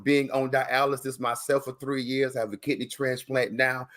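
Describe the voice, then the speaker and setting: A man's voice: medium pitch, flat delivery, normal speed, a bit bright, slight background noise. A man hosting an interview in a casual, encouraging way, speaking earnestly and repeating words for emphasis. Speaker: host and interviewer.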